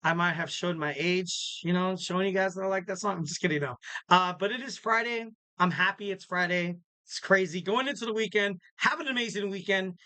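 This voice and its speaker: A man's voice: high-pitched, brisk, bright, forceful tone, clear, resonant tone, no room sound. A young man hosting a casual solo podcast, rambling and joking with lots of fillers and restarts. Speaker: podcast host.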